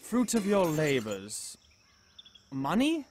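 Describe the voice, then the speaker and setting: A man's voice: fairly high pitch, wildly swooping pitch, slow, background noise, heavily acted. A man playing a meek, reluctant, luckless knight in an amateur audio drama. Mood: puzzled.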